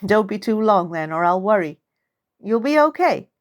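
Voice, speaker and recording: A woman's voice: mid-range voice, sing-song, normal speed, close to an everyday voice. Woman acting a subdued, caring aunt. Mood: gentle concern. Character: subdued, caring aunt, weary but gently forgiving. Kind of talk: audiobook character dialogue. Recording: music under the voice.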